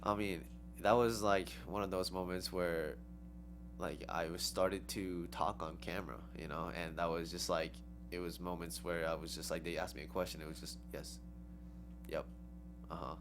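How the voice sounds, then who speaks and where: A young woman dancer answers in loose, rambling sentences packed with "like" and "um" and broken up by giggles. Her voice sits very low, and a clear steady hum runs behind her. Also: normal speed. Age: young adult. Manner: rambling, heavy on "like" and "um", with giggly laughs. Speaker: interview guest, dancer. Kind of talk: casual interview answers.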